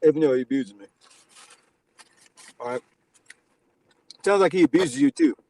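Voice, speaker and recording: A man's voice: on the high side, wildly swooping pitch, moderate pace, gravelly, a little mellow, a little hiss or hum, rough recording. A man hosting a live stream, teasing his guest in crude, casual banter. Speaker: stream host.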